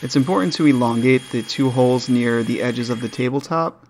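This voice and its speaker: A man's voice: mid-range voice, a little fast, a little mellow, slight background noise. A man narrating a woodworking build step by step in a plain, matter-of-fact voice-over. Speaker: woodworking channel host.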